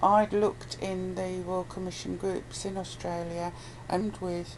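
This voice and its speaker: Low-voiced British woman, blunt and sweary. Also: little pitch movement, unhurried, a little mellow. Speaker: interviewee. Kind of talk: casual conversation. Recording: noisy amateur recording with background noise.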